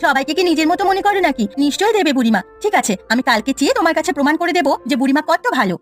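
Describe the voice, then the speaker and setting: A woman voicing a kind, trusting young village wife in a cartoon, speaking quickly and earnestly. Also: high-pitched, expressive, faint music behind. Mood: earnest, defensive. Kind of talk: Bengali village cartoon voice acting.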